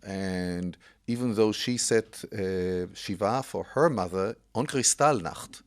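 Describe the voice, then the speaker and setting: A man in his sixties from Israel, a podcast guest telling his family history in an unhurried way with many pauses. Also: lowish voice. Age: 60s. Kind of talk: casual interview chat.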